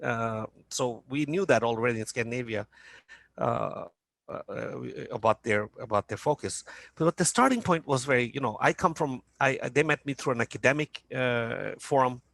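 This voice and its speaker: A man's voice: medium pitch, moderate pace, gravelly, fairly bright tone, no echo. A man from Norway speaking in a business panel talk as a company founder, hesitant with many fillers and restarts.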